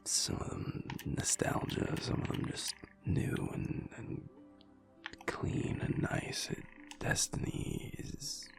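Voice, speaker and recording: A man playing a sad, wistful young alpha werewolf in an intimate audio roleplay, talking haltingly in a low, rumbly half-whisper. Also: faint music behind, lightly acted. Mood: wistful, fond. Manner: halting, hesitant, trailing off.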